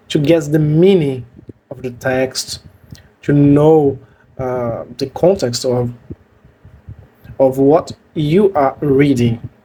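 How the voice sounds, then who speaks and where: Slow, hesitant Brazilian man. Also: medium pitch, dark tone, slight background noise, rough recording. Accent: Brazilian Portuguese. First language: Portuguese. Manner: hesitant, many fillers and restarts. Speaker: language vlogger. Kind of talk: casual talk to camera.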